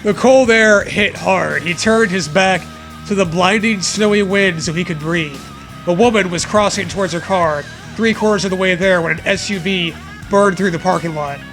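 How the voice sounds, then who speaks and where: A man's voice: sits high, moderate pace, fairly bright tone, soft background music. A man giving a comedic, mock-dramatic reading aloud as co-host, with profane banter.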